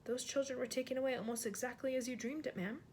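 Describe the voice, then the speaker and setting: A woman's voice: sits high, steady tone, quick pace, a little mellow, slight background noise, close to an everyday voice. A woman voicing an older, deferential maid who speaks in a hesitant, quivering, polite way. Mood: trembling.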